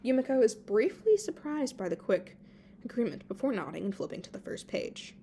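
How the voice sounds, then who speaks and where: A woman's voice: a little high, very animated, brisk, vocal fry, mellow, slight background noise. A woman reading a story aloud as an amateur narrator, now and then tripping over a word and correcting herself. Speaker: amateur podfic reader. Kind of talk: reading fan fiction aloud.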